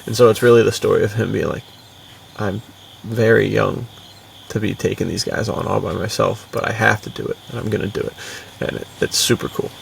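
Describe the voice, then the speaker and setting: A man with a heavily creaky, lowish voice talks in a casual, enthusiastic podcast style. He rambles along in slangy phrases. The microphone sounds somewhat distant. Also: steady tone, a little fast. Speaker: podcast co-host and fiction writer. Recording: distant mic with faint background noise.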